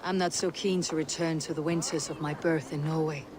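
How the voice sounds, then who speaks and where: Norse female raider leader played by an actress, husky and low, blunt confidence, game ambience noise behind. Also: steady tone, fairly quick, natural voice. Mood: measured, probing. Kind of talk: video game cutscene acting.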